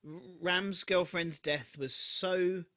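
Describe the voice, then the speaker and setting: A man co-hosting a re-watch podcast in casual chat, animated and prone to acting out bits of dialogue as he retells scenes. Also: high-pitched, slow pace. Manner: animated, often breaks into laughter, swears casually. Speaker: podcast co-host.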